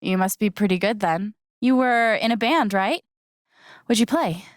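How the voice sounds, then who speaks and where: Casting: actress as wry, candid young woman for a narrative game, slow conversational delivery, natural mid-range voice, very dry sound. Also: medium pitch, dry recording, natural voice. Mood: teasing, friendly. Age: young adult. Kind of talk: naturalistic video game acting.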